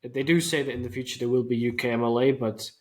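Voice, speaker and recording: A man's voice: medium pitch, fairly even intonation, fast talker, darkish tone. A man hosting an interview, asking structured questions and adding practical information. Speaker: interviewer, host.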